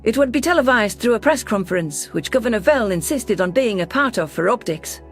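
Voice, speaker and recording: A woman's voice: a little high, expressive, a little fast, soft background music, natural voice. A woman voicing a defiant, protective female alien ambassador, telling her story in a sci-fi audio drama with earnest assertiveness. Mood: reflective. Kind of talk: science fiction audio drama, first-person narration and dialogue.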